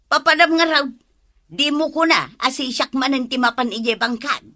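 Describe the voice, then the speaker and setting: A woman acts out an exasperated village wife with an Ilocano accent. Her voice sits high and rings bright and forceful as she scolds and needles in a barangay comedy drama. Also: moderate pace. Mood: exasperated, nagging.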